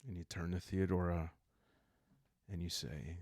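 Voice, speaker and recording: Male ASMR storyteller: low, gravelly, calm and halting, for a solo roleplaying game. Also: no echo, clean studio sound. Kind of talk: calm ASMR narration of a dark journaling game.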